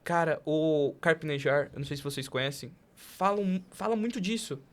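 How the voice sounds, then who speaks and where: A mid-pitched voice with a Brazilian Portuguese accent. The speaker chats casually on a podcast, pressing points emphatically with slang and rhetorical questions. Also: lively intonation, brisk.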